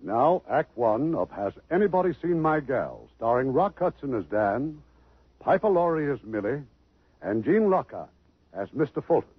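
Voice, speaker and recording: A man's voice: mid-range voice, big pitch swings, normal speed, breathy edge, no echo. A man hosting and narrating the setup of a 1950s radio drama. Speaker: radio host and producer. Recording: muffled old radio broadcast with music.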